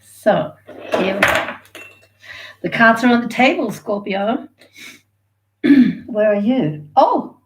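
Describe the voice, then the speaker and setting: A woman's voice: on the high side, animated, takes it slowly, long pauses, a little hiss or hum, rough recording. A woman, from Sweden and Australia, giving a chatty, rambling live tarot reading and laughing easily. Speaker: tarot reader.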